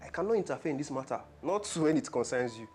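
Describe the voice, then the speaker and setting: A man's voice: medium pitch, expressive, quick pace, a little mellow, natural voice. A man with a Nigerian accent playing a stern, reproachful man in a film drama, firm and admonishing. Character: stern, reproachful man scolding a troublesome woman.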